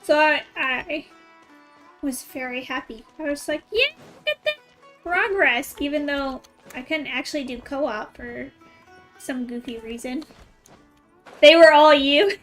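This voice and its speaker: A woman's voice: sits high, a little slow, pauses often, low-quality recording. A woman streamer chatting casually over gameplay. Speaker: game streamer. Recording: faint game music behind.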